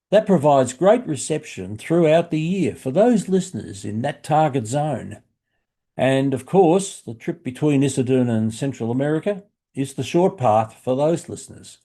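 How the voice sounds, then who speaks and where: Australian male presenter, soft mellow tone, mid pitch, measured and friendly explainer narration. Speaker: shortwave radio hobbyist presenter. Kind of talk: explanatory narration for a hobby video.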